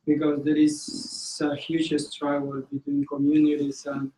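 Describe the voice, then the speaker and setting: A man from Honduras giving a comment from the floor as an audience member, talking haltingly and searching for words. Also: a little high, monotone, dark tone. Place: university classroom. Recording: echoey room, distant mic, faint noise.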